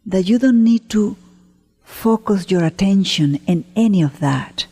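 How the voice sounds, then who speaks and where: Slow, breathy woman guiding meditation. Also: medium pitch, expressive, faint music behind. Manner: gentle, unhurried, with long pauses between short phrases. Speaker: meditation teacher. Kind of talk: guided meditation, spoken slowly to listeners. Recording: long hall-like reverb.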